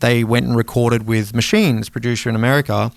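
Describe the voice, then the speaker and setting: A man in his thirties with a clear, smooth voice and an average pitch. He talks as a podcast co-host, rambling and swearing casually, recorded very dry with no room sound. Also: steady tone, quick pace, dry recording. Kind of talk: casual music podcast chat.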